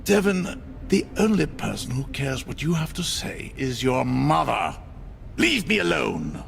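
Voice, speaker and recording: Gravelly, haughty older corporate executive. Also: male voice, medium pitch, very animated, unhurried, slight background noise, heavily acted. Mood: scornful. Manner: pompous, contemptuous, theatrical. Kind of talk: dramatic video game voice acting.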